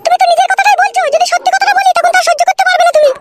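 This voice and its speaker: A woman voicing an aggrieved, whining young village wife in a comedy cartoon, with a pitched-up voice. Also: fairly even intonation, very fast, very noisy. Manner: shrill, protesting, pleading.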